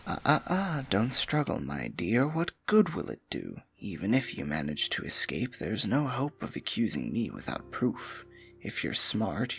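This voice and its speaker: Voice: low voice, creaky, a bit bright, breathy edge, full character voice. An actor voicing a scheming evil royal advisor with magic, speaking in a silky, mocking, condescending way for an ASMR fantasy roleplay. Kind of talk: ASMR fantasy roleplay, intimate theatrical monologue.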